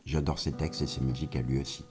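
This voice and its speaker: A man's voice: a bass voice, steady tone, fast, mellow. A middle-aged man from France talking casually and reflectively as a singer-songwriter guest in a radio interview, often in short phrases.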